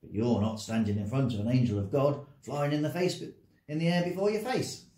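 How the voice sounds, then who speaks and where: A man voicing a nervous young shepherd who talks in a croaky, hoarse way, in an echoey room. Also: medium pitch, brisk, mellow, lightly acted. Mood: jumpy. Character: nervous young male shepherd, blunt and jumpy.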